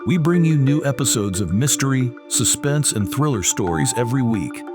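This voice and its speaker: A man reading a steady, scripted channel outro announcement. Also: mid-range voice, normal speed, over music.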